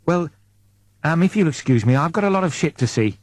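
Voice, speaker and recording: A man voicing a weary, insecure ordinary man in an audiobook, defensive and halting when flustered. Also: on the high side, lively intonation, a little mellow, dry recording, voice pushed up, natural voice. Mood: awkward, flustered. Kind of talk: audiobook character dialogue.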